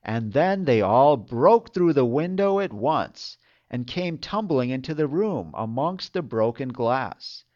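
A man narrating a fairytale as a storyteller, with expressive delivery. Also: mid-range voice, slow pace, lo-fi sound.

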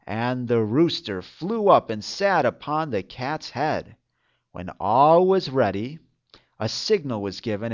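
A man narrating a fairytale as a storyteller, with expressive delivery. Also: medium pitch, muffled recording.

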